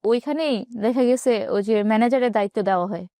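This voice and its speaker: A woman's voice: fairly high pitch, quick pace, mellow, dry recording, clean studio sound. A woman in her twenties with a Bangladeshi accent, telling her life story plainly as an interview guest. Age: 20s.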